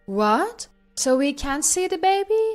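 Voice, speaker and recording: Woman acting an eager, high-voiced new grandmother. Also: very animated, measured pace, soft background music. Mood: dismayed. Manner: eager, pressing.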